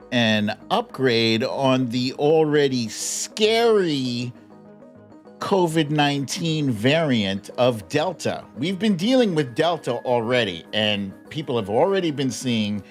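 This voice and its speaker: A man's voice: medium pitch, big pitch swings, slow pace, soft background music. A man hosting a live news commentary show in an informal, wry, comedic way, often chuckling as he talks. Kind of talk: casual comedic political news commentary livestream.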